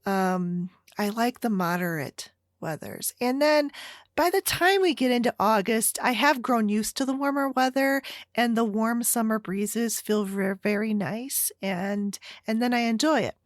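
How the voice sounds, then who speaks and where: American lady chatting on her podcast, kinda rambly, takes her time, mid pitch, no room echo. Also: a little slow, clean studio sound. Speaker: podcast host. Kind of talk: casual solo podcast chat.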